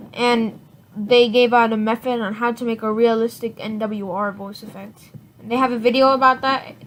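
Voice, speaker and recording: A woman giving a casual, unscripted software tutorial in an informal, rambling way, often correcting herself. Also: fairly high pitch. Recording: noisy home recording with clicks.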